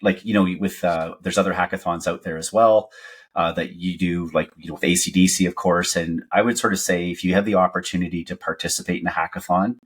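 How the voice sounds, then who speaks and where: A man from Canada co-hosts a podcast with a very low, husky voice. He rambles with hesitant restarts, circling back as he explains. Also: fairly even intonation, brisk. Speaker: podcast co-host. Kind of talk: casual podcast chat.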